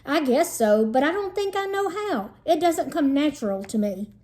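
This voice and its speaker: A woman's voice: sits high, lively intonation, normal speed, a little mellow, voice pushed up. A woman reading a storybook as a reluctant, wry fourth-grade boy, plain-spoken and questioning. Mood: unsure.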